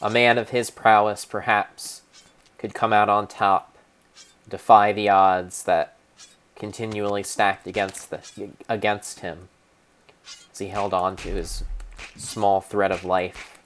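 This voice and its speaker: A man narrating his own gameplay as a solemn, theatrical dramatic reading, with pauses and small slips. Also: lowish voice, flat delivery, a bit bright, a little hiss or hum. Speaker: game streamer narrating play. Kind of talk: mock-dramatic storybook narration over gameplay.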